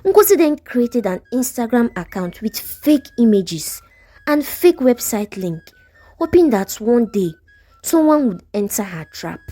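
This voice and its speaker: A woman's voice: on the high side, very animated, unhurried, warm tone. A woman with a Nigerian accent narrating a scripted folktale as a storyteller.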